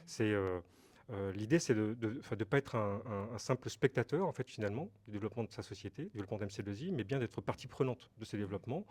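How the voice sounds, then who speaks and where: Middle-aged man, lowish voice, hesitant explainer. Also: fast talker, darkish tone. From Brittany, France. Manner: hesitant, filler-heavy explaining with frequent repeated words. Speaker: company managing director. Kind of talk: unscripted interview answers.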